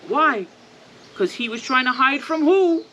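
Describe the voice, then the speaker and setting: A woman's voice: high-pitched, expressive, a little slow, pushed and bright, dull audio, a little hiss or hum. A woman hosting a children's storytime, reading aloud and prompting young listeners with simple questions. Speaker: storytime host and reader.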